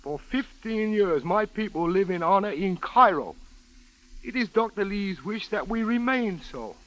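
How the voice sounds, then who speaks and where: High-voiced, formal Chinese merchant, old radio drama. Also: male voice, animated, a bit bright, dry recording. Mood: guarded, firm. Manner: formal, measured, courteous phrasing. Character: dignified, guarded Chinese herb and spice merchant. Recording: dull vintage radio recording with hiss.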